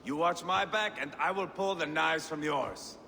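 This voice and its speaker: Gravelly, taunting Viking warrior. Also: male voice, on the high side, normal speed, a little hiss or hum. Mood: grim, scornful. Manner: taunting, brash, swearing. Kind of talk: dramatic video game acting. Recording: long hall reverb, loud music.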